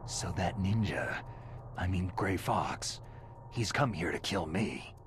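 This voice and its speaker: An actor playing a gruff, weary soldier hero in a video game, with a gravelly, hushed voice and a terse, brooding delivery. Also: male voice, low-pitched, expressive, slow pace, slight background noise. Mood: grim. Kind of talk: dramatic video game cutscene voice acting.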